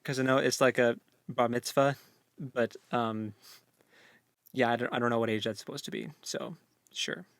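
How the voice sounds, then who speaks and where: A man's voice: mid-range voice, fairly even intonation, normal speed, pauses often, fairly bright tone, dry recording. A man co-hosting a book discussion in a casual, meandering way, repeating words as he goes. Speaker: co-host of a book discussion show.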